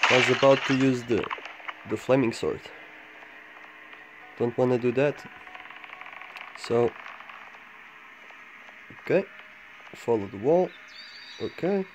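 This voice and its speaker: A man giving low-key, casual gameplay commentary, thinking aloud in short fragments as he guides viewers. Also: takes it slowly, pauses often. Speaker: gamer making a walkthrough guide. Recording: clearly noisy home recording with clicks.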